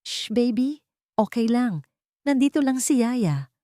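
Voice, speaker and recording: A woman's voice: on the high side, animated, measured pace, dark tone, no echo, pitched above the performer's own voice. A loving young nanny, acted out by a woman storyteller in a melodramatic audio story. Her voice is raised and trembling, heartbroken yet tender, recorded close and dry. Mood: soothing, fearful.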